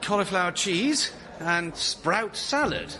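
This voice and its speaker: A man's voice: sits high, animated, slow, pushed and bright, a little hiss or hum, full character voice, low-quality recording. A male actor playing a flustered, exasperated colleague, sometimes stammering, in a radio sitcom. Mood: polite, awkward.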